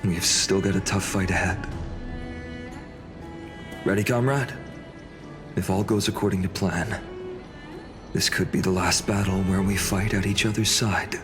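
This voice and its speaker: An actor playing a calm, noble young warrior lord in a video game, speaking in a measured, comradely way. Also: male voice, deep voice, over music. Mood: warm, teasing. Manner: measured, gently teasing.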